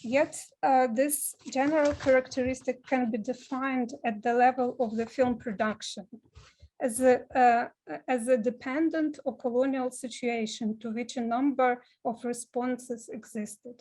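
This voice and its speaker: A woman's voice: high voice, steady tone, a little mellow, poor audio. Hesitant woman giving a seminar talk. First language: Ukrainian. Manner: halting, many filler sounds and restarts. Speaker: seminar speaker, film scholar.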